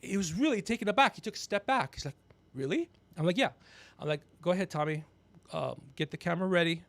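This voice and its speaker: A gregarious man hosting a podcast, telling rambling comedic stories with animated, teasing asides. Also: high-pitched, quick pace, creaky, no room sound. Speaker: podcast host. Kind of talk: rambling comedic storytelling on a livestream.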